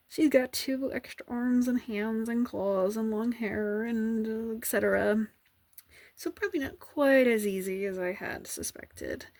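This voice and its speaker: A woman artist chatting in a soft, relaxed ASMR style while she draws, musing about her work. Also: mid-range voice. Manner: relaxed, musing, self-reflective. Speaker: artist drawing on camera. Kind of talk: soft-spoken ASMR chat while drawing.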